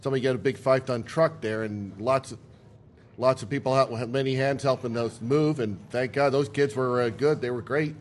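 A man's voice: medium pitch, fairly even intonation, brisk. Rambling, folksy middle-aged man. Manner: rambling, folksy, swears casually. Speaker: convention organizer. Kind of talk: casual interview chat.

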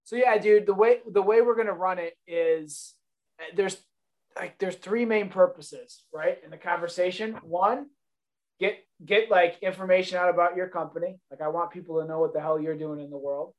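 A man opens his podcast with an old Marine friend. His voice sits a little high, and he talks loosely and profanely, full of fillers and casual swearing. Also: moderate pace. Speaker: podcast host, Marine veteran.

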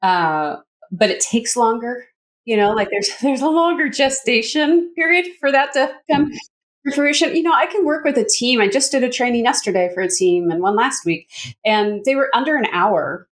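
A middle-aged woman from Canada with a North American accent, telling her story in an animated, chatty way as a coach and educator being interviewed. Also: fairly high pitch, normal speed. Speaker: communications coach, consultant and educator, interview guest. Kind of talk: casual conversational interview answers.

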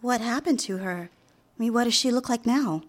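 An actress playing a young 1950s secretary in a radio drama, confiding and lovestruck, live on a theater stage. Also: female voice, on the high side, moderate pace, natural voice. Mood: curious. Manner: confiding, direct address to listener. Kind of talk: radio drama narration and dialogue.

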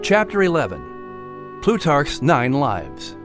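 A man playing an announcer who reads a story in a measured, dramatic way, as scripted narration. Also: a little high, wildly swooping pitch, music in the background, heavily acted. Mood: narrating.

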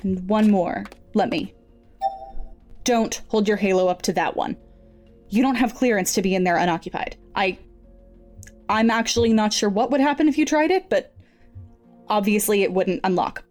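An actress playing a cool, businesslike research supervisor with a clipped, formal manner in an audio drama. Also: female voice, medium pitch, moderate pace, pauses often, darkish tone, faint music behind, lightly acted. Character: brisk, guarded, businesslike female research supervisor.

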